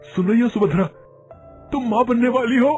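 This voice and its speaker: A man playing a devoted, emotional husband in an animated moral story, earnest and excitable. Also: extremely high pitch, normal speed, husky, soft background music. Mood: overjoyed disbelief.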